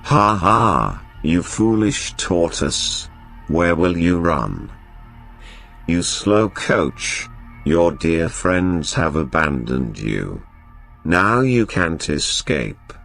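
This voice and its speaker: A man voicing a greedy, menacing hunter villain from a children's storybook, with a taunting, drawn-out delivery. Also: low-pitched, big pitch swings, vocal fry, fairly bright tone, soft background music, pitched below the performer's own voice, heavily acted. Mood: gloating, taunting.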